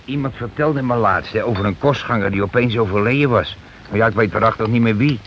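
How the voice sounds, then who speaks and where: An actor in a Dutch radio play playing a middle-aged, self-important working-class husband who complains and blusters sarcastically. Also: male voice, lowish voice, quick pace, lightly acted. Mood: impatient. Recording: muffled, hissy old radio recording.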